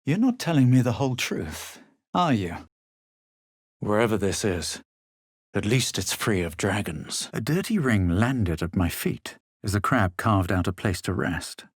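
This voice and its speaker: An actor playing a haughty, self-assured young adventurer in a fantasy video game, speaking in a measured, literary manner. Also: male voice, lowish voice, very animated, breathy edge, dry recording, studio quality, exaggerated voice. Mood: cool, brooding. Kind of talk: video game character narration and barks.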